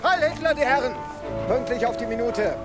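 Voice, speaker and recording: A man playing a brash stormtrooper leader in a radio play, barking with jaunty military briskness. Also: very high-pitched, expressive, loud music behind the voice.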